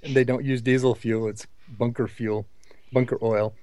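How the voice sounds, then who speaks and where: A man's voice: medium pitch, level tone, normal speed, darkish tone, no echo. Emphatic man, dry close sound. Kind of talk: casual book discussion.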